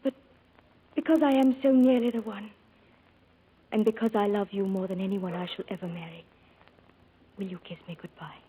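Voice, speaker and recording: A woman playing a tender, perceptive young bride-to-be, gentle and earnest, in 1940s radio drama style. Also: medium pitch, steady tone, moderate pace, pauses often, slightly rough, close to an everyday voice. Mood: tender, wounded. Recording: muffled old radio recording, music behind.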